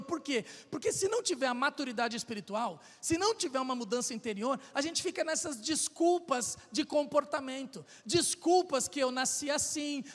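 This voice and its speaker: A Brazilian man of middle age preaches with a strikingly high-pitched voice. He talks in a relaxed, conversational way and then drives his points home through emphatic repetition. His voice rings through the long reverb of a church hall. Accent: Brazilian Portuguese. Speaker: pastor. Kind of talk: sermon. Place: church sanctuary.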